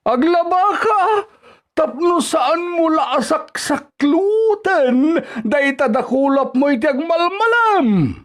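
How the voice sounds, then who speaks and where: A man's voice: very high-pitched, very animated, slow pace, a bit bright, full character voice. A man with an Ilocano accent playing a scornful, nagging father-in-law in a radio drama, scolding with exclamations and sarcastic questions. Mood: bossy, scolding. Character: nagging, scornful father-in-law berating his daughter-in-law as lazy. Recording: music bed behind the dialogue.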